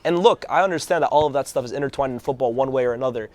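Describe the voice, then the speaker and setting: A hoarse man co-hosting a casual football chat, telling stories in a fast, animated way. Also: mid-range voice. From Algerian background. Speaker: co-host.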